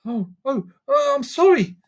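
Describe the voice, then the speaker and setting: A man voicing a determined young girl in a bedtime story, raising his voice high and earnest. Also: sing-song, a little slow, exaggerated voice.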